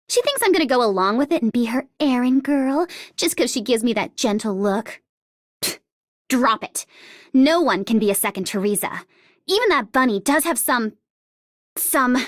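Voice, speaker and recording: A woman's voice: on the high side, no echo, studio quality. A woman voicing a cocky, vengeful, mischievous mercenary captain in a video game, with a taunting, sardonic and theatrical delivery. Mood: scornful, grudging. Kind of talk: English video game character voice lines.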